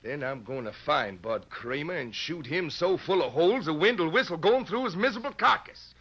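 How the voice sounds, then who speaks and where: An actor playing a blustering, vengeful cowboy with theatrical western talk, on a dull old radio recording. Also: male voice, fairly high pitch, expressive, fairly quick, fairly bright tone. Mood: menacing bluster. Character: blustering, vengeful cowboy gunslinger hunting his partner.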